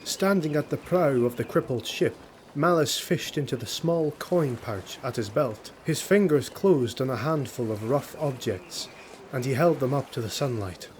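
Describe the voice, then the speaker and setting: A man's voice: medium pitch, animated, moderate pace, darkish tone, a little hiss or hum. A man reading scripted fantasy narration dramatically, as an audiobook narrator. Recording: faint ambient sound effects behind voice. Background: ambience and sound effects.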